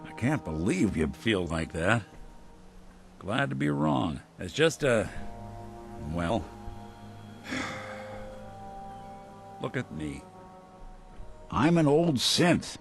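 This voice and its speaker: A world-weary old synth detective from a video game. His American voice sits low and moves very slowly, with halting, wry turns in the manner of a noir gumshoe. Faint music runs underneath. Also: very animated, gravelly, full character voice. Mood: hesitant, disbelieving.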